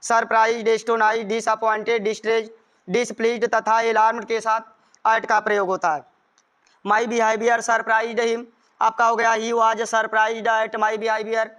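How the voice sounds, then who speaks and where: An Indian man teaches in a strikingly high, clear voice. His delivery stays fairly even in tone as he explains grammar step by step, repeating each point for students. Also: measured pace. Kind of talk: grammar lesson, explaining rules with examples.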